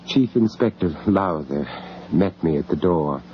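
An actor playing an anxious English gentleman in a radio horror drama, halting and stammering in distress, on an old radio recording. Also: deep voice, expressive, lo-fi sound, slight background noise. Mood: grim, shaken. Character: shocked, anxious English gentleman, family friend of victims. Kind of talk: radio horror drama acting and first-person narration.

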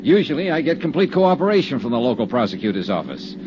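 An actor playing a tough, sardonic American insurance investigator with brisk, clipped delivery in a 1950s radio drama. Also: male voice, mid-range voice, very animated, noisy background, lightly acted. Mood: challenging, sardonic. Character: wry, tough, persistent male insurance investigator. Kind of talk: 1950s radio drama acting and scripted narration. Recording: dull vintage 1950s radio recording.

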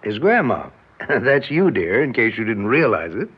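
A man's voice: medium pitch, big pitch swings, moderate pace, husky. An actor in an old radio drama playing a jovial middle-aged husband and father, playful and teasing. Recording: muffled old radio recording.

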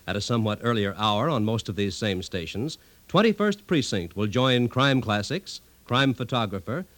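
Animated vintage male radio announcer. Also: medium pitch, no room sound. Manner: formal, promotional.

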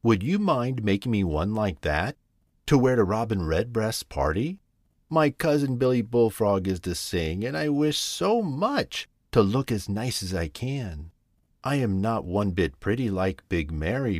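A man's voice: mid-range voice, very animated, unhurried, dry recording, clean studio sound. A man voicing a shy little freckled girl frog who speaks in a sweet, pleading way.

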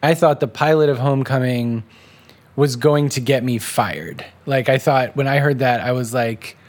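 An American man, a writer being interviewed, recalling events in a halting, self-deprecating, casual way. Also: mid-range voice, darkish tone. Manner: halting, self-deprecating, acts out remembered remarks.

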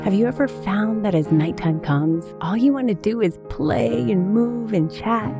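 A middle-aged woman narrating gently and calmly, as a podcast host guiding children toward sleep. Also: medium pitch, unhurried, vocal fry. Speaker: podcast host and meditation guide. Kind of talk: soothing scripted narration for children's sleep meditations. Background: music with guitar.

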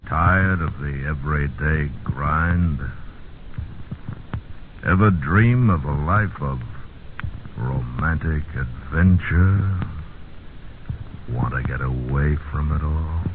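A man's voice: very deep voice, slow, long pauses, background noise. A man announcing a 1950s radio drama in a grave, portentous, dramatic style. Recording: tinny old radio recording. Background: music.

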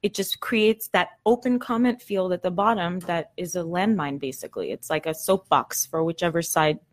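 A woman's voice: lowish voice, normal speed. Chatty middle-aged woman, easy laugh. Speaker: group moderator on a talk show. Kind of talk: casual group chat.

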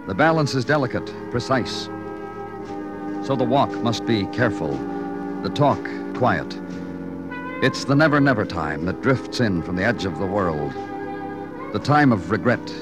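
An actor playing a brooding, world-weary New York police detective in a 1950s radio drama, speaking in a deliberate, hard-boiled way. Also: male voice, lowish voice, lively intonation. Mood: brooding, poetic. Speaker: lead actor and narrator. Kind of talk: 1950s radio noir acting and narration. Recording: vintage radio recording with music.

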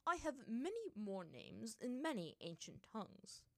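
An aged monkey monk spirit from a storybook, played by a woman, grumpy and aloof. He talks in curt, dismissive statements with a flat manner. The recording is dry and close, with no room around it. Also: a little high, a little slow, creaky, dry recording, heavily acted. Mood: stately, calm.

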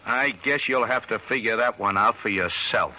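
An actor playing a cynical, slangy, needling sideshow pitchman in an old-time radio drama. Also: normal speed, sharp tone, slight background noise, rough recording.

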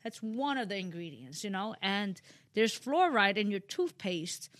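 A woman's voice: medium pitch, sing-song, unhurried, a bit bright, dry recording. A young woman guest on a podcast, talking casually and loosely, with lots of "you know" and playful jokes. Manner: rambling, heavy on "you know" and "like", jokey asides. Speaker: podcast guest, spiritual speaker. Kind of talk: casual chat.

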